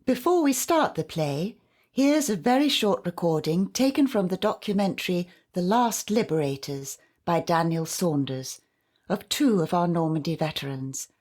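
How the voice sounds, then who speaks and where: Woman, lower-pitched voice, scripted narration, audio play announcer. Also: darkish tone.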